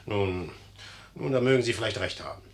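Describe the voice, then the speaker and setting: Bold young general, swinging theatrical delivery. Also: male voice, fairly low pitch, expressive, a little fast. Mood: wry, guarded. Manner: theatrical, declamatory, swinging from hushed to emphatic. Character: young General Napoleon, bold, mocking, cynical, proud. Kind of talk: 1950s German radio play acting.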